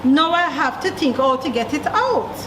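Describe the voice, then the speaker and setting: Woman acting a tricky male spider, storybook, sly, pleading, high voice, distant and noisy recording. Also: expressive, moderate pace, fairly bright tone. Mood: feigned dismay. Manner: pleading, slyly coaxing. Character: clever, tricky male spider who wheedles out of debts. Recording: roomy, distant mic, noisy background.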